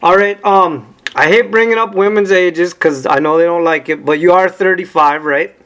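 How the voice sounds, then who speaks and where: High-voiced male interview host. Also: normal speed, a bit bright. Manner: direct and conversational, reading facts to confirm. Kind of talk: interview questions.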